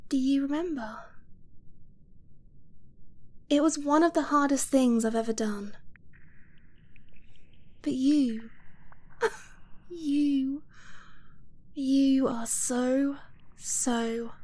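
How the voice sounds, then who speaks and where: A woman playing an affectionate, anxious dragon-blooded girlfriend in an intimate ASMR roleplay, speaking softly and breathily with hesitant, earnest pauses and frequent near-whispers. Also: high-pitched. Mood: tender, earnest. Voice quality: soft, breathy, often dropping to a whisper.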